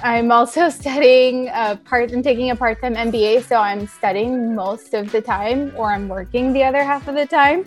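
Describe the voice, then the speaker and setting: A young woman from Thailand talks as a podcast guest, chatty and quick to joke at her own expense. Her voice sits fairly high. Faint music plays underneath. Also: steady tone. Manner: chatty, self-deprecating humor. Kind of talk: casual podcast conversation.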